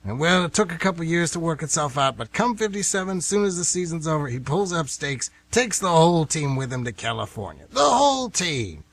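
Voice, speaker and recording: Man acting a stubborn, husky old grumbler, animated. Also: a little high, a little fast, hardly any pauses, exaggerated voice. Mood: indignant storytelling. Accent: American. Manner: emphatic, grumbling, folksy. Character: stubborn, indignant old male former baseball fan. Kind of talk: radio play acting.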